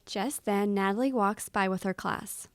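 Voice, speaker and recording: Slow, deliberate woman reading a children's story. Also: mid-range voice, clean tone, no echo. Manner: deliberate, dramatic pauses. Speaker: storybook reader. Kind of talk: expressive children's read-aloud.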